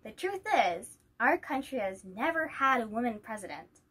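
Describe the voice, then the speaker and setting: A woman's voice: fairly high pitch, expressive, moderate pace, fairly bright tone, husky. A woman voicing an encouraging, matter-of-fact female schoolteacher while reading a children's picture book.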